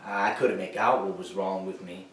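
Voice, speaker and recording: A young man acting a troubled, grieving war veteran in a theatrical monologue, halting and emphatic, swinging between hushed and loud delivery. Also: low-pitched, fairly even intonation, slight background noise, close to an everyday voice. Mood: sorrowful. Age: teenager or young adult. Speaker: high school dramatic interpretation competitor. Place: echoey indoor room, recorded from far off. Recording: echoey room, distant microphone.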